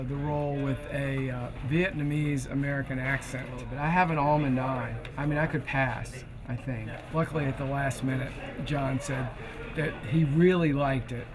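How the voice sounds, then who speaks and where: A man's voice: mid-range voice, steady tone, normal speed. A man talking about his acting role in a dry, joking manner. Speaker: actor. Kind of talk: behind-the-scenes interview. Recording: crowd chatter behind.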